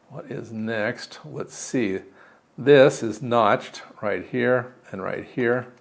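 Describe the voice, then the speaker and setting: A man giving a casual hands-on DIY tutorial, unscripted and halting, thinking aloud as he explains. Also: fairly low pitch, creaky. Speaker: DIY electronics video maker.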